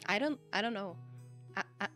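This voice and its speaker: A woman with a Czech accent chats with her live audience in a middle-pitched voice. She laughs easily and keeps checking in with "okay?" as she makes her points. Faint music plays quietly behind her. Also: lively intonation, a little fast. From Czech Republic. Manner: chatty, laughs often, repeats "okay?" to stress points. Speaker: VTuber streamer. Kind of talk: casual livestream chat, answering viewer chat.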